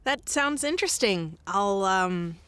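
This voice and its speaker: Shy apologetic newbie at radio station, lively pitch, dry sound. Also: female voice, unhurried, clear, resonant tone, no echo. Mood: sheepish. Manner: hesitant, apologetic. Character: timid, apologetic young newcomer at a radio station. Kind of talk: naturalistic audio drama acting.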